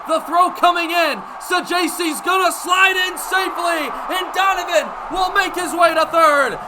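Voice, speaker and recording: A young American man calling baseball play-by-play for radio, with energetic, vivid running commentary. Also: extremely high pitch. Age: young adult. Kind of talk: live radio sports commentary. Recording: live broadcast with ballpark background noise. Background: stadium ambience.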